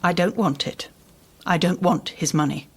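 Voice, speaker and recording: An actress playing a haunted, guarded older woman in a radio drama, husky and hesitant in her speech. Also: fairly low pitch, moderate pace. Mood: firm, upset.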